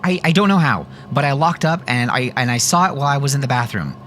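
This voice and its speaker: A man's voice: mid-range voice, lively intonation, fast, a bit bright, slight background noise, voice pushed up, close to an everyday voice. A man voicing an anxious man on the run, stammering with breathless urgency in an audio story. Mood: urgent.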